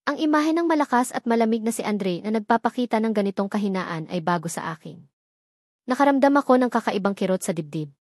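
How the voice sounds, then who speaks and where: A woman's voice: medium pitch, darkish tone, no echo, studio quality. A woman with a Filipino accent reading a scripted, first-person dramatic story as narrator.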